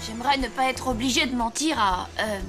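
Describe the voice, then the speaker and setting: A woman's voice: a little high, fairly quick, over music, lightly acted. A woman voicing an honest, conscientious young schoolboy in a French cartoon dub, earnest and a little scolding. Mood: uneasy.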